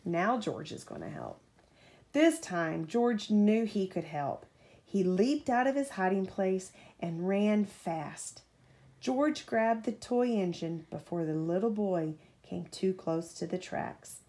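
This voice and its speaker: Lively woman reading a bedtime storybook, slightly creaky. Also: mid-range voice. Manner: animated, pausing to ask a child questions. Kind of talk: expressive children's storybook read-aloud.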